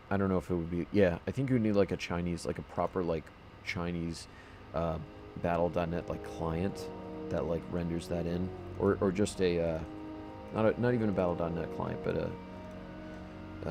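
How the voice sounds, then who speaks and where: Male streamer, deep voice, rambling casual chat, music bed behind. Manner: rambling, with many restarts and fillers. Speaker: livestreamer playing a game. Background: background music.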